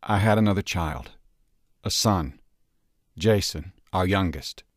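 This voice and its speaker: A man voicing a grieving American man, low, weary and resentful. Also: slow, dry recording, clean studio sound.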